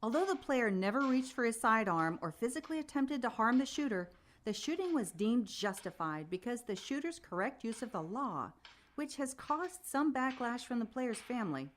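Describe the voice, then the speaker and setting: An actress playing a composed, probing news reporter conducting a formal interview in a radio play. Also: female voice, a little high, very animated, normal speed, slight background noise.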